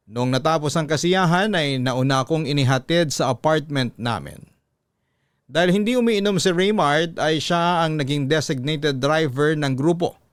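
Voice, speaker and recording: A man with a Filipino accent reading a listener's story as dramatic, measured radio narration. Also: fairly high pitch, clear, resonant tone, dry recording, clean studio sound.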